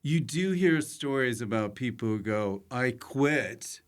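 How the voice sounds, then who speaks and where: A man's voice: mid-range voice, lively intonation, moderate pace. A man hosting a casual, comedic interview podcast, talking in a loose way with short prompts. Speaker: podcast host.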